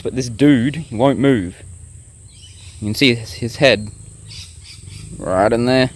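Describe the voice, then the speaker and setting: Young male vlogger, casual and rambling, outdoor handheld sound with insect noise behind. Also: mid-range voice. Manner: rambling, relaxed, talks straight to viewers. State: sick, blocked nose and sore throat. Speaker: bushcraft vlogger. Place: outdoors in the bush.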